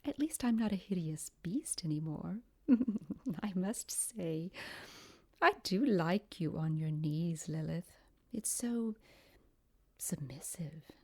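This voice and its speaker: An ancient, vengeful temptress. She draws her words out very slowly in a sultry, gloating manner, often slipping into breathy whispers. The style is supernatural radio drama. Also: on the low side, animated, full character voice. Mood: seductive taunting. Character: vengeful, seductive ancient woman, former monster, gloating over rival. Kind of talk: audio drama acting.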